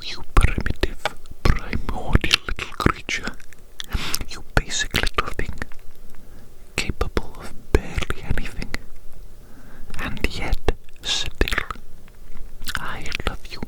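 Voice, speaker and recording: An ASMR performer playing an evil doctor in a breathy whisper, repeating phrases with clinical, condescending fondness for a tiny specimen. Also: close to an everyday voice, poor audio. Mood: doting. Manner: slow repetitive murmuring, clinical yet doting. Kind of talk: ASMR medical roleplay.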